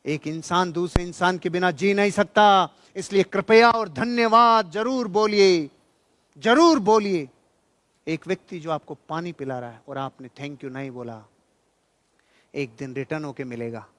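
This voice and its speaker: High-pitched man giving a motivational talk. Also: a bit bright, dry recording. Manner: emphatic, repeats key phrases for effect; jocular, colloquial storytelling. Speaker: motivational speaker and trainer. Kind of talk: motivational seminar speech with anecdotes and humour.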